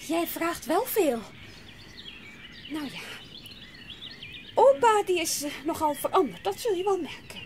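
A brusque, impatient aunt in a children's audio drama. Her voice is high and swings a lot, curt and sighing, with birdsong and outdoor noise clearly behind her. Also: big pitch swings, a little slow, long pauses, exaggerated voice. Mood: reluctant. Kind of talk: children's radio play acting.

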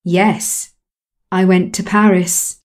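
A woman calmly repeating English model sentences in a slow, measured way for a lesson. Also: on the low side. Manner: calm, measured repetition of phrases. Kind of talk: slow scripted language drill.

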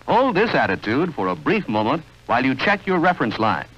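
A man reading scripted 1940s training film narration in a measured, instructional, clearly enunciated manner. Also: mid-range voice, very animated, fairly bright tone. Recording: dull, band-limited vintage film soundtrack.

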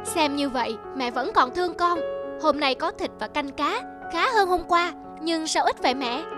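A woman voicing a selfish young adult son in an animated moral tale, whining and complaining demandingly. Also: sits high, normal speed, music in the background. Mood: sulky. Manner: petulant, complaining.

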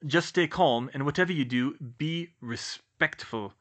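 Man as a bright-voiced, casual young prankster. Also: fairly high pitch, a little slow. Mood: hushed, wary. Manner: casual, placating, cheeky. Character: jaunty, confident young prankster twin brothers. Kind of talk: audiobook character voice.

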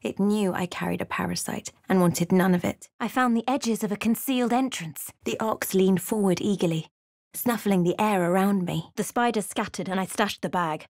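An actress playing a watchful, introspective female fantasy adventurer, narrating her journey in measured, literary game prose. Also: medium pitch, a little mellow, no echo, studio quality, natural voice. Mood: reflective. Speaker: video game character. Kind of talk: first-person past-tense scripted game narration.

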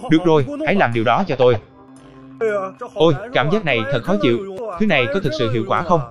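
A man voicing cheeky, stubborn talking plants in an animated cartoon, with a sassy, exclamatory delivery. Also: medium pitch, fast, over music, lightly acted. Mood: eager. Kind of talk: animated cartoon dialogue dub.

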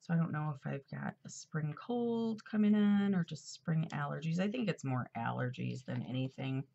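A woman's voice: deep voice, lively intonation, normal speed, creaky, warm tone, dull audio. A middle-aged woman chatting in a rambling, casual way while decorating a planner at her desk, a little congested. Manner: chatty, rambling, with fillers and asides. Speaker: planner vlogger. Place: at a desk indoors. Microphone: overhead camera.

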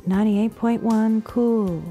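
A woman voicing a sultry late-night jazz radio announcer, slow and seductive in a station promo. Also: fairly high pitch, steady tone, mellow, faint music behind, rough recording.

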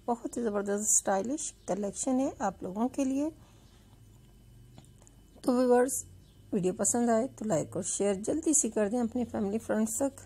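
A woman's voice: a little high, warm tone, breathy edge. A woman host giving a chatty, repetitive voice-over, addressing her viewers as friends. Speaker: video channel host.

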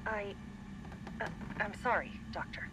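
High-pitched meek nurse. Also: male voice, measured pace, background noise. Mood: cowed. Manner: meek, hesitant, deferential. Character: timid, submissive hospital nurse. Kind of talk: video game voice acting.

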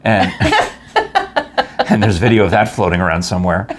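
A man's voice: lowish voice, wildly swooping pitch, measured pace, background noise. A man in his fifties hosting a casual studio chat, joking around and reading sponsor details. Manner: jokey, rambling, playful. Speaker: host. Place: small studio set at a table.

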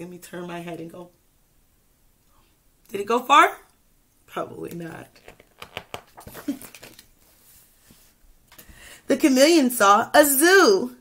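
Unhurried young woman reading to children. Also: on the high side. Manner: expressive, slow reading with questions and asides to young listeners. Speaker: teacher reading aloud to children. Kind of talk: interactive children's picture book read-aloud. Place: small indoor room with classroom wall charts.

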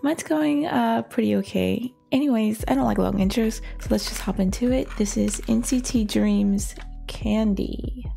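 A hoarse woman chatting casually as she pauses and reacts to a music video, full of fillers and giggles. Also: fairly high pitch, mellow. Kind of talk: casual commentary. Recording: faint music behind.

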